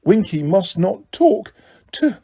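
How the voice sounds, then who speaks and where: A man's voice: high voice, very animated, slow, warm tone, slightly rough, pitched above the performer's own voice, full character voice. A man voicing a small, timid and loyal male house elf who speaks in an earnest, anxious way with broken grammar. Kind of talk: audiobook character voice.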